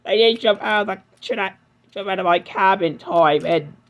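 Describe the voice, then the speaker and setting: A man voicing a touchy, hurt male steam engine in a fan-made cartoon dub, shaky and prone to yelling. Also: moderate pace. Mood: distressed. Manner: shaky, high-strung, bursts into yelling.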